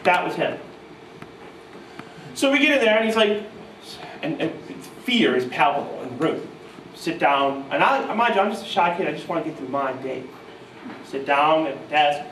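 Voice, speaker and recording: A man in his thirties from New York state, telling animated, self-mocking stories to a live audience at a guitar clinic. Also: a little high, moderate pace, pauses often, a little hiss or hum. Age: 30s. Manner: animated, self-mocking, mimics voices in quotes. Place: music store clinic room, audience present. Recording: roomy live sound from far off.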